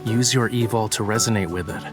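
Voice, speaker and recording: A man acting as a young male painter in a video game, wry and nonchalant. His voice sits fairly low and has a teasing, whimsical manner. Music plays clearly underneath. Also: brisk, close to an everyday voice. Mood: coaxing, instructive. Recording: music behind the voice.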